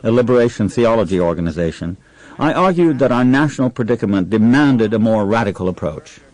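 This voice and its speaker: A man giving scripted documentary narration in a calm, factual delivery. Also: on the low side, a little fast, rough recording. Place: voice-over.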